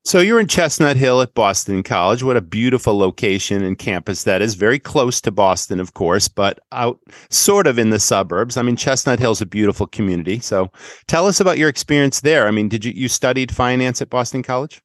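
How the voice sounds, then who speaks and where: A man's voice: medium pitch, lively intonation, fairly quick, no echo. A man hosting an interview, enthusiastic, asking long follow-up questions. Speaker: podcast host. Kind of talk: casual interview.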